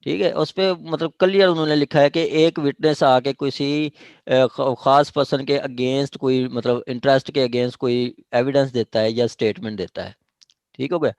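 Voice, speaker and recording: A man's voice: medium pitch, steady tone, moderate pace, a little mellow, dry recording. A man with a Pakistani accent lecturing on statute law in a didactic way, checking in with listeners as he explains. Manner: didactic, punctuates points with check-in questions.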